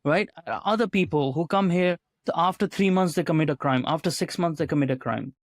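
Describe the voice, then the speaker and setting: A man's voice: fairly high pitch, a little fast, no echo, clean studio sound. Earnest man tagging points with "right?". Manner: earnest, often ends points with a questioning "right?". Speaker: podcast guest, writer and commentator. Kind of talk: conversational podcast interview.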